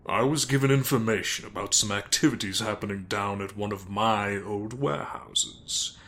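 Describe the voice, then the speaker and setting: An actor playing a commanding mob boss, formal and measured with quiet threat, in a dramatic audio role-play. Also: male voice, fairly low pitch. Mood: formal concern.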